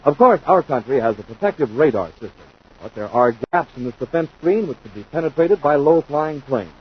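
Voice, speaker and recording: A man's voice: fairly high pitch, expressive, a little fast, breathy edge. An American man announcing from a script in 1950s radio style. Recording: dull 1950s radio recording.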